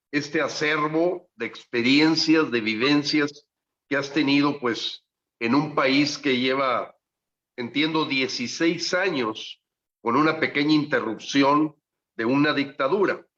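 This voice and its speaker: A male interviewer talks in a mid-pitched voice. He asks a long question in a loose, chatty way and rephrases it as he goes. The audio is dull and muffled. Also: fairly bright tone. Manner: conversational, hesitant, rephrasing.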